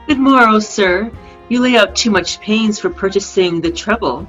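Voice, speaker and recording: A woman's voice: medium pitch, a bit bright, soft background music, lightly acted. Muffled call audio, woman playing a proud Shakespearean princess. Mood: coolly polite. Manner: measured, formal verse with pointed emphasis. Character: proud young princess, sharp-tongued, loyal, coolly scornful. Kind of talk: amateur Shakespeare play reading. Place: remote online video-call reading. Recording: dull lo-fi video-call audio.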